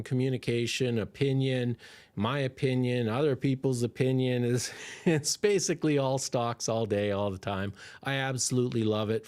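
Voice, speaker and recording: A male stock-investing host chatting excitedly through a livestream Q&A, rambling and restarting as he goes, his voice slightly hoarse. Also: medium pitch.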